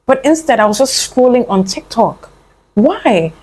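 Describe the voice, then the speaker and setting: A woman's voice: fairly high pitch, sing-song, unhurried, a little mellow, breathy edge, low-quality recording. Young adult woman, motivational vlogger; coaxing, sisterly, loose and rambling delivery. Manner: earnest, coaxing, calls the listener "my love" and "honey"; rambling with frequent fillers and restarts. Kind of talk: casual motivational talk to camera.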